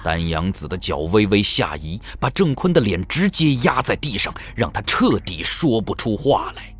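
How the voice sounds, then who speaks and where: Guy narrating audio drama, deepish voice, very animated storytelling, music behind. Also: moderate pace, husky.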